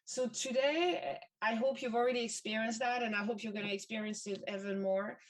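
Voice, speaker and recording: A woman's voice: on the high side, fairly even intonation, brisk, far from the mic, rough recording. A woman from France with a French accent, speaking English as a second language, telling a story in a motivational talk and often repeating words and using fillers. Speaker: event speaker.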